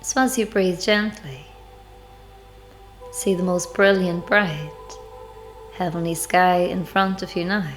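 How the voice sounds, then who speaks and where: A woman leading a devotional guided meditation, speaking in measured, deliberate phrases with pauses. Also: lowish voice, steady tone. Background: background music.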